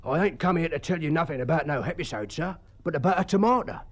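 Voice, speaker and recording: A man's voice: on the high side, lively intonation, quick pace, voice pushed up. A man voicing an ancient English school porter with a working-class dialect, stubborn yet deferential, rambling and plain-spoken in an audiobook story.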